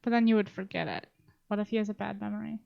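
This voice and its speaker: A woman's voice: medium pitch, fairly even intonation, fast talker, gravelly, clean tone, highs cut off. A woman co-hosting a podcast in casual discussion, talking informally and swearing now and then. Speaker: podcast co-host.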